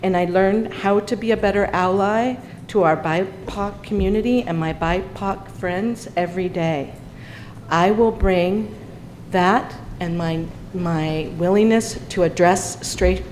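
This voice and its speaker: A woman's voice: medium pitch, a little slow, darkish tone, a little hiss or hum, poor audio. A woman running for city council, delivering an earnest prepared statement at a forum.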